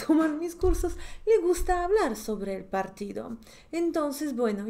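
A woman's voice: on the high side, warm tone. A woman in her thirties with a Polish accent, giving a chatty online grammar lesson as a language teacher, checking in with the listener often. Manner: chatty, explanatory, asks "¿sí?" often. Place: indoor room, at a desk. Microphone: webcam in a corner of a screen share.

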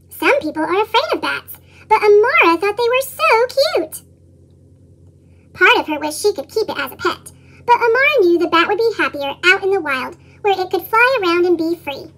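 A woman reading a children's storybook in scripted narration, expressively, through a pitched-up chipmunk voice effect. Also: a bit bright. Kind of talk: read-aloud scripted narration.

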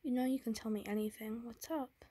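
A woman voicing an anxious, tender young boyfriend in a whispered romance roleplay, speaking softly with nervous stammers. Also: fairly high pitch, steady tone, a little fast, slightly rough, dry recording, pitched above the performer's own voice. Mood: worried pleading.

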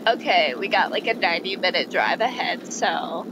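A woman voicing a laid-back young female crocodile in a cartoon game, speaking in a flat, dry way. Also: normal speed, muffled recording, noisy background, lightly acted. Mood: dry, deadpan. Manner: low, flat, dry delivery.

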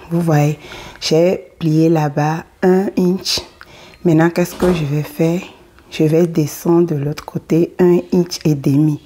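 A woman's voice: deep voice, warm tone. A woman with a cold, speaking French as a second language, explaining step by step how to cut and sew a kaftan. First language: not French. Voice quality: husky, gravelly. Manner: plain step-by-step explaining, often asks the viewer if they follow. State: has a cold, hoarse. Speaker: sewing tutor. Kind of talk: casual sewing tutorial. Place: indoors at a table.

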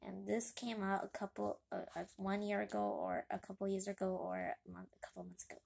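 A woman reading a comic book aloud in an amateur way, halting and stumbling over words. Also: medium pitch, steady tone, gravelly, a little mellow. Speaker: reader.

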